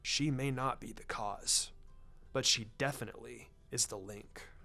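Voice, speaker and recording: A man voicing a shrewd, laid-back intelligence chief in a story reading, with a careful, deliberate way of speaking. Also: creaky, sharp tone. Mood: analytical. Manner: careful, deliberate, low-key. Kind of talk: fan fiction story reading. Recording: music under the voice.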